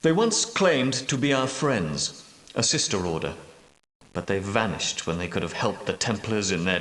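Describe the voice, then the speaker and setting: An actor playing a solemn, commanding medieval knight and prophetic leader, speaking in grave, formal, archaic oratory for a video game. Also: male voice, on the low side, a bit bright. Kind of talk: theatrical video game character dialogue. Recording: roomy echo, slightly distant from mic.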